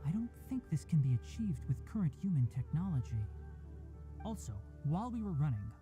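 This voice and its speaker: An actor voicing a calm young male forest ranger and scholar with fox ears in a game, speaking in a measured, composed way. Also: a little high. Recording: game audio with faint music.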